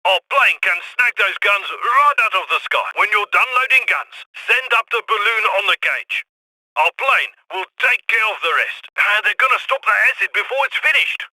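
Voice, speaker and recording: An actor playing a businesslike heist crew handler, giving brisk orders over the radio in a video game. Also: male voice, sits high, expressive, bright, forceful tone, dry recording, exaggerated voice, poor audio. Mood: calm orders.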